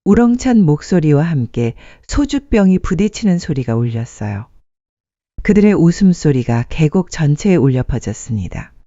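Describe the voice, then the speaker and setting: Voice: mid-range voice, very animated, normal speed, warm tone, no room sound. A narrator reading a scripted dramatic story as a storyteller. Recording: muffled dry studio sound.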